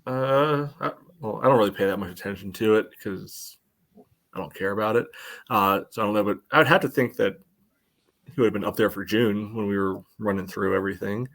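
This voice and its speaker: A man's voice: lowish voice, brisk, a bit bright. A man co-hosting a podcast in casual sports chat, often saying "you know" as he goes. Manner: peppers speech with "you know". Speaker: podcast co-host.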